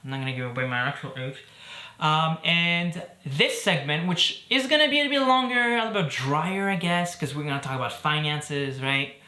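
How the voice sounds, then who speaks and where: A man's voice: on the high side, animated, brisk, bright, forceful tone, echoey room. A man from Belgium in his thirties, hosting a casual talk to camera in an upbeat, chatty way. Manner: upbeat and chatty, with fillers and jokes. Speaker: host.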